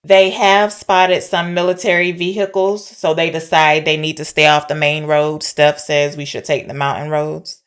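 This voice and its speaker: A woman's voice: deep voice, level tone, moderate pace, few pauses. A woman co-hosting a podcast, retelling a story informally and checking her wording with her partner. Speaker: podcast co-host discussing films. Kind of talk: casual conversational plot recap.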